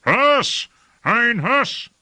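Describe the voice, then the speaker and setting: A man's voice: a little high, animated, normal speed, voice pushed up. A man voicing an imperious, hot-tempered lord in an audiobook, harsh and urgent as he gives commands. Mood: angry, desperate. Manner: urgent, peremptory, shouting commands.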